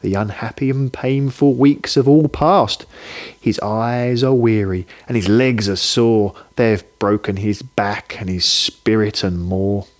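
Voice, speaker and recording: A man narrating a rhyming children's story in an expressive, scripted style. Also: lowish voice, slow pace.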